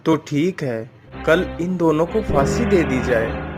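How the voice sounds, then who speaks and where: A man's voice: on the high side, expressive, slow pace, over music. A man voicing a stern, authoritative figure of wealth and rank in a Hindi moral-story cartoon, with a commanding manner.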